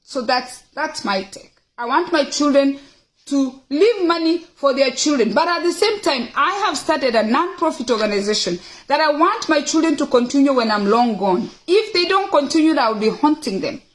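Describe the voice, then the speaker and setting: High-pitched Kenyan woman, emphatic talker. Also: animated, normal speed. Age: middle-aged. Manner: emphatic, repeats phrases for stress. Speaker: host of a personal livestream. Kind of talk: casual, opinionated talk to viewers, reading out questions.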